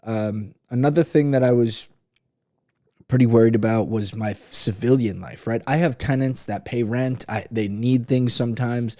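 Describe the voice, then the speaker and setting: A man's voice: medium pitch, normal speed, mellow, no echo, clean studio sound. A man in his late twenties talking casually to camera about his experiences, rambling a bit with fillers and restarts. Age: 20s. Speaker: vlogger, army reservist.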